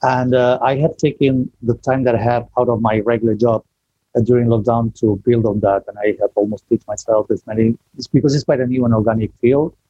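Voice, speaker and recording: Low-voiced man, self-deprecating video call chat. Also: fairly even intonation, mellow, no room sound, dull audio. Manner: chatty, self-deprecating, halting restarts. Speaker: guest. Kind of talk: casual online interview.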